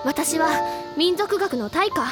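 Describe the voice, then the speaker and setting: A woman's voice: extremely high pitch, animated, slow pace, full character voice. A bossy bookish schoolgirl. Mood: determined. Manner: bossy, dramatic, whiny when flustered. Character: bookish teen girl, bossy and excitable. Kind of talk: drama CD acting. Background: music.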